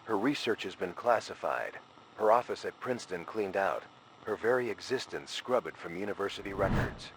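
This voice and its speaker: A man's voice: low voice, normal speed, slight background noise, low-quality recording. A man narrating a scripted horror story in an ominous style.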